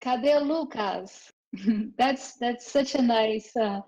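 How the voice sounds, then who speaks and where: A woman's voice: a little high, slow pace, darkish tone, poor audio. A woman, a Portuguese speaker, chatting casually on a group voice call. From Brazil. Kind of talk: casual chat. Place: remote voice call.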